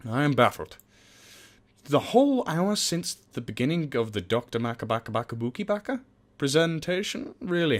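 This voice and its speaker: Animated, joking young man reading a game. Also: mid-range voice. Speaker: let's play host. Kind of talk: casual let's play narration.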